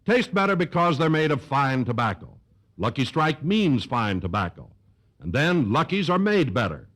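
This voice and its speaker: Animated, husky American radio announcer. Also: male voice, medium pitch, moderate pace. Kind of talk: 1950s radio commercial announcing.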